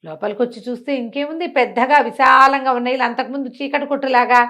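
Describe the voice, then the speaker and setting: A woman's voice: sits high, steady tone, fast talker, bright, forceful tone. A woman telling a story conversationally, in colloquial speech, posing rhetorical questions as she goes along.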